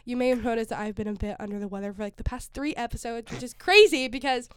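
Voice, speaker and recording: A woman's voice: fairly high pitch, fast, slight background noise. A young woman co-hosting a podcast in casual chat, a little congested from a cold, upbeat and full of fillers. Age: young adult. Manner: chatty, upbeat, many "like" fillers. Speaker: podcast co-host.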